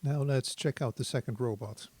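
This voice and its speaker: A man's voice: mid-range voice, moderate pace, darkish tone, husky, no room sound, soft background music. A man giving a casual hands-on tutorial, talking as a presenter who thinks aloud while he works. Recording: synthesizer tones behind the voice. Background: synthesizer sounds.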